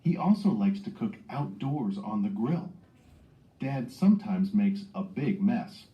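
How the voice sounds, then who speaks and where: A man reading a scripted children's story aloud as a narrator. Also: medium pitch. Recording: dull lo-fi playback in echoey room.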